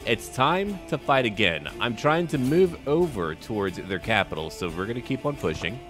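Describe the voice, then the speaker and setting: A man's voice: medium pitch, sing-song, moderate pace, music in the background. A man hosting a roleplay strategy game video, musing aloud in short, clipped remarks. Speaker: gaming video host.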